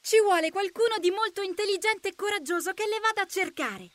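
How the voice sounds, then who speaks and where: A woman voicing a bossy, excitable, self-assured little female ladybird in a children's bedtime story. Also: very high voice, fairly quick, no echo, voice pushed up. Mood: confident.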